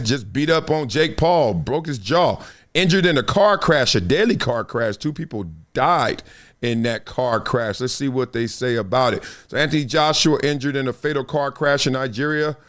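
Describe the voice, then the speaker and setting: A middle-aged man hosting a casual livestream, reading viewer comments and news aloud in a playful, animated way. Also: mid-range voice. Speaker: show host. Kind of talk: casual live-stream commentary.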